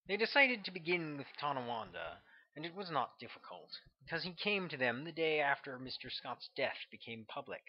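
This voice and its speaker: A man's voice: fairly low pitch, dull audio. A man reading his own novel aloud as scripted audiobook narration.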